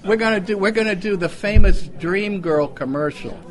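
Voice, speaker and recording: A man's voice: high voice, normal speed. A man doing casual live stage banter as an amateur entertainer, showy and given to repeating his phrases. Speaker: amateur entertainer at a club luncheon. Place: restaurant banquet room. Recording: live in a busy crowded room. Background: chattering luncheon crowd.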